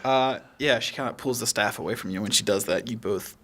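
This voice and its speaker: A man's voice: fairly low pitch, brisk, a bit bright. A man acting as game master, improvising casual narration of a tabletop game in a loose, conversational way. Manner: loose, conversational, peppered with "like" and "kinda".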